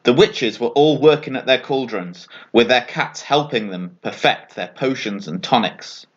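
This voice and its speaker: A man's voice: medium pitch, measured pace, breathy edge. Man narrating a bedtime story, slightly muffled. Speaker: author reading his children's story.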